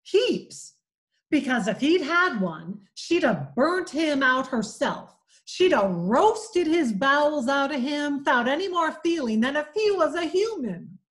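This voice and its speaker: A woman voicing a cheeky, mischievous young boy who talks back quickly. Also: high-pitched, big pitch swings, voice pushed up.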